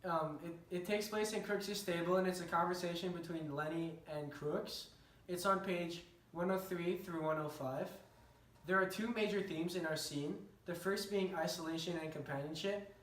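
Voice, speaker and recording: A man's voice: high voice, normal speed, a bit bright. Young male student in an echoey room. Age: young adult. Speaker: student presenter. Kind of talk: rehearsed class presentation. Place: indoor room with curtained window. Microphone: distant camera. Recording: echoey room, distant camera mic.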